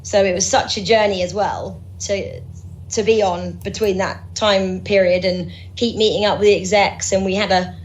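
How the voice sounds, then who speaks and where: A woman's voice: medium pitch, steady tone, moderate pace, slight background noise, rough recording. A woman from Norwich who works as a sports presenter, chatting upbeat in an interview with lots of fillers and restarts. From Norwich, England. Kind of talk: casual interview chat.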